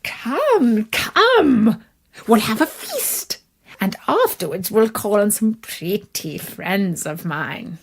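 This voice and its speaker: A storybook uncle mouse, acted out by a woman, worldly and very pleased with his fine tastes. He is a pompous, genteel gentleman who speaks in an unhurried, effusive way with a breathy edge. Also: medium pitch, big pitch swings, exaggerated voice. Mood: enticing, jovial. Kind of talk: storybook character voice.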